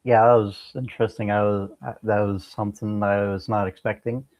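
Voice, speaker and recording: A man answering as a guest in a casual interview, halting and often repeating words mid-sentence. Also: lowish voice, flat delivery, a little mellow, no room sound. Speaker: interview guest, amateur musician.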